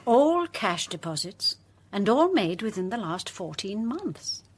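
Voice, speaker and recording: An actress playing a brisk, analytical woman investigator in a radio drama, breathy and precise in delivery. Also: medium pitch, very animated.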